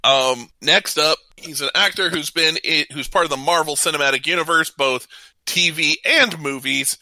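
Bright, forceful man hosting a talk show. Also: fairly high pitch, moderate pace, no room sound, poor audio. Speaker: radio show co-host. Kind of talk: casual talk show presenting, reading out trivia.

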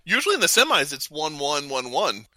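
Bright, sharp-voiced man hosting animated geeky banter. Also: a little high, a little fast, no echo. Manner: geeky, reading out matchups and correcting co-hosts. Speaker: podcast co-host. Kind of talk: casual bantering panel chat.

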